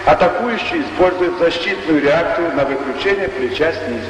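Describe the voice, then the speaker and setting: A man reading scripted instructional narration in a formal, measured, didactic way, on an old Soviet educational film soundtrack. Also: a little high, a little hiss or hum, rough recording.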